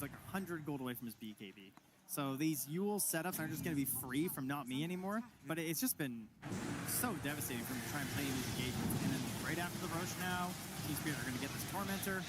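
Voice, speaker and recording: A man's voice: fairly high pitch, noisy background. A man giving live analytical commentary on an esports match, explaining how the game is developing.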